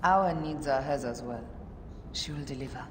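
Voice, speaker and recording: A woman's voice: very deep voice, normal speed, long reverb tail, a little hiss or hum. An actress playing a loyal, resolute servant of an ancient queen in a video game cutscene.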